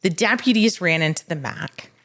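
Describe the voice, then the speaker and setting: A woman's voice: on the low side, moderate pace. A woman co-hosting a podcast, telling stories off the cuff in a dramatic, conversational way. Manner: animated, dramatic retelling with acted quotes. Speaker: podcast host. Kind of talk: unscripted conversational storytelling.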